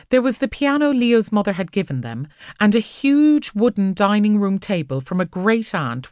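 A woman reading fiction aloud as an audiobook narrator, expressive and measured in her storytelling. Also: lowish voice, no room sound, clean studio sound.

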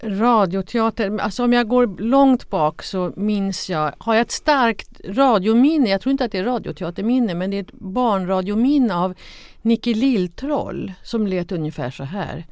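A woman's voice: mid-range voice, animated, few pauses, darkish tone, dry recording, clean studio sound. A woman chatting on a podcast as an academic co-host. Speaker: theatre professor, critic, co-host. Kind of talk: conversational podcast talk.

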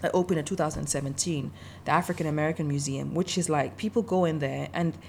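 A woman's voice: low voice, steady tone, fast talker, creaky, darkish tone, a little hiss or hum. A woman who grew up in Denmark, talking passionately and emphatically in a casual conversation, often repeating herself.

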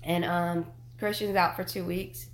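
A woman co-hosting and producing a casual podcast, raspy from a cold and speaking in a dry, wry way. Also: fairly low pitch, little pitch movement, unhurried, echoey room, poor audio. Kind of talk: casual chat. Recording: faint background hum.

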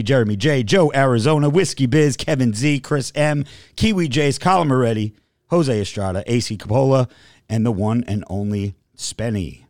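A man's voice: medium pitch, darkish tone, dry recording, clean studio sound. A man hosting a casual livestream podcast, rambling a little and reading out a long list of supporter names. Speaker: podcast host.

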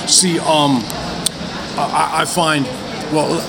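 Loud, blunt, boisterous man. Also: fairly high pitch, steady tone, drawn-out words, creaky. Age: older. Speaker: podcast co-host. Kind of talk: casual chat. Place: outdoors at a convention. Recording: noisy outdoor recording.

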